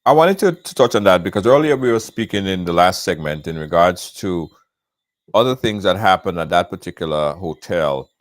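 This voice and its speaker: A man hosting a live call-in show, brisk with callers and often pausing on fillers. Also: sits low, expressive, no room sound. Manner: brisk, talks over guests, hesitant fillers. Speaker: talk show host.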